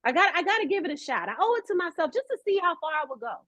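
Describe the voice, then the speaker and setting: A woman's voice: very high-pitched, big pitch swings, racing, sharp tone, dry recording. A middle-aged American woman telling stories conversationally as an interview guest, emphatic and slangy, repeating phrases for effect. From United States. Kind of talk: animated conversational interview storytelling.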